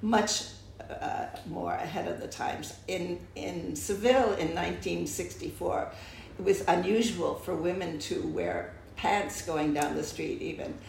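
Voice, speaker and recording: An older American woman with a deep, gravelly, creaky voice, slightly low for a woman. She tells her story in a reflective, halting way, restarting her phrases often. Her voice sounds roomy and echoey. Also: darkish tone, a little hiss or hum. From United States. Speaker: interviewee. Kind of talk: oral history interview. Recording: echoey room.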